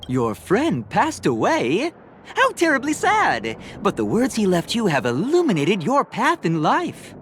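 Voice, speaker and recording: An actress playing a sweet-talking, pious female cult recruiter who preaches with gushing, theatrical warmth in a game. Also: medium pitch, wildly swooping pitch, measured pace, a little hiss or hum. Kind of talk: game dialogue voice acting. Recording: studio voice with game music behind.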